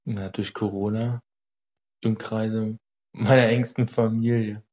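Young man, 19, low monotone, short offhand answers. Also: slow. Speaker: interviewee. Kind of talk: casual interview answers.

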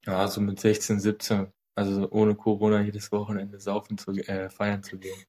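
A man's voice: on the low side, completely flat delivery, normal speed, warm tone. A nineteen-year-old man giving short, offhand interview answers.

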